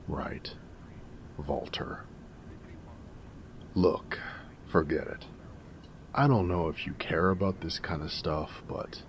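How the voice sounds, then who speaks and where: A man playing a snarky, easygoing ghoul drifter with a gravelly rasp, chatting wryly in slangy drawl in a post-apocalyptic roleplay audio. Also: very deep voice, slight background noise, heavily acted. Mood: dismissive, snarky. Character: snarky, easygoing male ghoul, ex-bush pilot wasteland drifter. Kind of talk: character roleplay audio monologue in a post-apocalyptic game setting.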